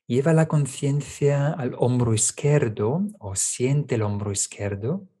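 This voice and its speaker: A man leading a guided meditation, giving calm step-by-step instructions with small checking tags in a gentle manner. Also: mid-range voice, slow pace, slightly rough. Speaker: meditation teacher.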